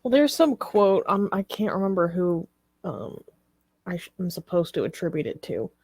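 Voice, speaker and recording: Hesitant woman with a lowish voice. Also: very animated, normal speed, a little mellow, husky, dry recording. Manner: hesitant, careful to qualify claims. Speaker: talk show co-host. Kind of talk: casual news discussion.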